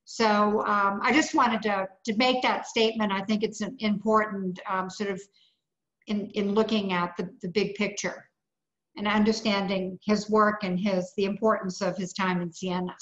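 A woman sharing a long book recommendation on a video call, halting and full of fillers. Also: medium pitch, level tone, fairly bright tone. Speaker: audience member commenting. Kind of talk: casual comment on a video call. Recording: echoey room over dull lo-fi call.

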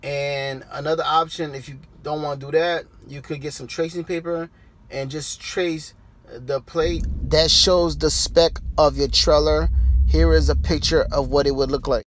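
A man in his thirties with a slightly high voice talks straight to the camera from inside his truck cab. His tone is relaxed and conversational, like he's walking his viewers through a step-by-step how-to. The camera sits close on the dashboard. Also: measured pace, slight background noise, poor audio. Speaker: trucker vlogger. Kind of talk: casual how-to vlog. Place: parked truck cab, daytime.

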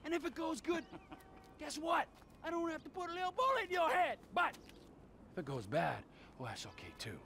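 A man playing a menacing, mocking, unhinged middle-aged criminal in a video game, with a gravelly, taunting delivery. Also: extremely high pitch, huge pitch swings, pushed and bright, a little hiss or hum, exaggerated voice. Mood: gleeful menace. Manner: taunting, drawn-out, volatile. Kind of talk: cinematic game acting.

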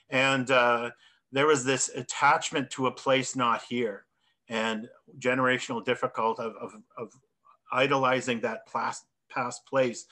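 A man's voice: mid-range voice, pushed and bright. A middle-aged man speaking reflectively in a panel discussion over a video call from a home office. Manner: reflective, gestures while talking, self-correcting. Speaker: artist presenter. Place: home office with bookshelves and plants. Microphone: video call webcam.

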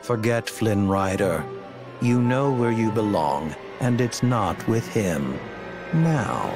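An actor playing a smug, coolly menacing villain in a video game, speaking in a measured, condescending, theatrical way. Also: male voice, fairly low pitch, lively intonation, music in the background. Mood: coolly taunting. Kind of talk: scripted video game cutscene acting.

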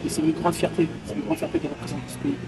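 A man's voice: on the high side, huge pitch swings, racing, recorded from a distance, over loud music, poor audio. A man in his twenties, a footballer giving casual interview answers outdoors and repeating phrases for emphasis. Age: 20s. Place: outdoors by a hotel pool.

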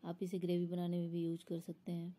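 A woman's voice: on the low side, monotone, brisk, dark tone. A woman explaining a recipe step by step in an instructional voice-over, as a home cook. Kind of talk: step-by-step cooking tutorial voice-over.

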